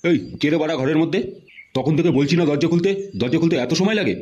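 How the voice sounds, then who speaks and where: A man voicing a stern, short-tempered middle-aged father in a comedy cartoon, gruff and demanding. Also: fairly high pitch, quick pace, muffled recording, noisy background, close to an everyday voice. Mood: impatient.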